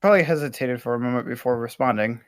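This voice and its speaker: Halting male script narrator, dry sound. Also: medium pitch, dry recording. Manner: halting reading with stumbles. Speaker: stage-direction narrator. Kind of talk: scripted narration at amateur table read.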